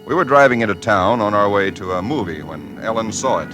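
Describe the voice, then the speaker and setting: An actor playing an earnest engineer narrating. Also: male voice, fairly low pitch, expressive, fairly quick, natural voice. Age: 30s. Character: ordinary male engineer narrating his diary. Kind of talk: 1940s radio drama narration. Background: music.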